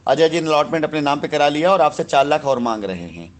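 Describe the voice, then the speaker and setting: Higher-pitched authoritative man mediating on the phone. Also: lively intonation, fast talker, noisy background. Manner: authoritative, interrupting, repeats himself. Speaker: company boss mediating a customer dispute. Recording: recorded phone call.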